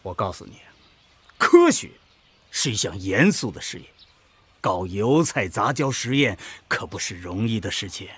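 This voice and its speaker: A pompous husky professor with wildly swooping pitch. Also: male voice, very animated, a little slow, a bit bright, dry recording, heavily acted. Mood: pompous, lecturing. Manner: pompous, lecturing, didactic. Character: pompous older male agricultural research professor. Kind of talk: Chinese radio drama acting.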